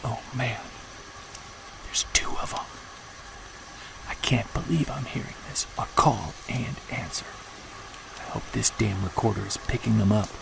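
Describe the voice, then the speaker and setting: An actor playing an earnest, excitable Bigfoot researcher, musing to himself as he records a field log in an audio drama. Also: on the low side, a little slow, vocal fry, pauses often, in a whisper, poor audio. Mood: hushed awe. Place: outdoors in wilderness sound setting, handheld recorder.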